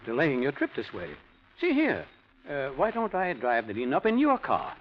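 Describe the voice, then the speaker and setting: A man's voice: mid-range voice, wildly swooping pitch, moderate pace. An actor playing a nervous, guilty male professor who stammers evasively in 1940s radio style. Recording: muffled old radio recording, faint noise.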